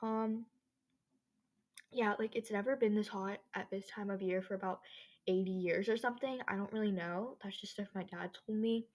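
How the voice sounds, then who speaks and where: A woman's voice: medium pitch, steady tone, normal speed, a little mellow. Rambling, chatty teenage girl podcaster. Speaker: podcast host. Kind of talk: casual solo podcast chat. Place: own bedroom.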